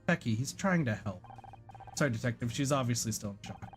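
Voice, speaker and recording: A performer voicing a composed, sensible female TV actress in a video game, calm and reasonable. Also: mid-range voice, brisk, soft background music, natural voice.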